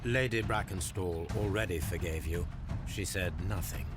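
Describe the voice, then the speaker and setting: An actor playing a shrewd, authoritative detective in a video game, speaking in a measured, formal and deliberate manner. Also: male voice, low-pitched, loud music behind the voice, lightly acted. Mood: accusing, grave. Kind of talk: dramatic video game voice acting.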